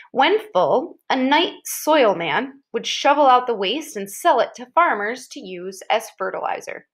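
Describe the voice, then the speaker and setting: Lady reading an article out loud teacher style, kinda high voice, really emphasizes the juicy words. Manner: expressive, emphatic, drawing out key descriptive words. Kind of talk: expressive read-aloud of an informational article with brief asides.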